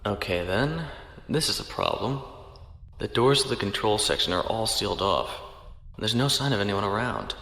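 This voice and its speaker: An actor playing a dutiful young naval officer who talks with wry, sardonic asides. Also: male voice, mid-range voice, pushed and bright.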